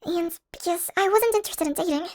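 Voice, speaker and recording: Very high-pitched anxious college girl, acted by a woman. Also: fairly quick, darkish tone. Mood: uneasy recounting. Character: anxious, chatty shrunken college girl, class president. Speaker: audio roleplay voice actor. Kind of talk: first-person audio roleplay, conversational acting.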